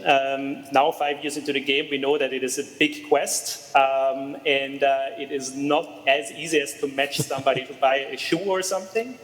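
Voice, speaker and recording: A man's voice: mid-range voice, fairly bright tone, big-room sound, poor audio. A man from Austria, a startup co-founder, answering interview questions over a video call, with frequent fillers and restarts. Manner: hesitant, many fillers and restarts. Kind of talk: conversational remote interview.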